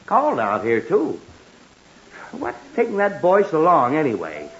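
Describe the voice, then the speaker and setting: A man's voice: fairly high pitch, wildly swooping pitch, measured pace, exaggerated voice. An American man acting a grumpy, sputtering middle-aged husband and father in a 1940s radio comedy. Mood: grumbling. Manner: blustering, sputtering. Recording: muffled hissy 1940s radio broadcast.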